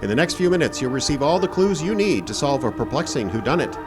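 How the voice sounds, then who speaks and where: A man's voice: mid-range voice, very animated, quick pace, over music. A man announcing a live radio show in scripted old-time radio style.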